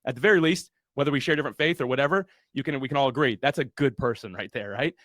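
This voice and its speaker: A man's voice: mid-range voice, very fast, fairly bright tone, no room sound, studio quality. A man hosting a webinar launch party, speaking earnestly and motivationally with casual fillers. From USA. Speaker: host.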